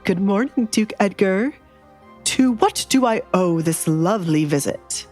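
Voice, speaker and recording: A woman's voice: mid-range voice, animated, a little slow, darkish tone, faint music behind. A woman voicing a nervous, fawning middle-aged male baron, formal and deferential, in an audio drama. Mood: polite, wary.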